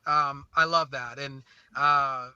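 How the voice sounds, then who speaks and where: A man's voice: mid-range voice, steady tone, slow, bright, forceful tone, muffled recording. A man from St. Croix and New Orleans talking casually and effusively as a music artist being interviewed, often repeating and correcting himself.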